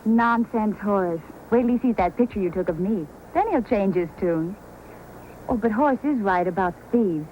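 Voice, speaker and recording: An actress playing a spirited, poised music hall performer in an old-time radio drama, speaking theatrically. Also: female voice, medium pitch, lively intonation, normal speed, a little mellow, close to an everyday voice. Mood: bright, sociable. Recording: faintly noisy old radio recording.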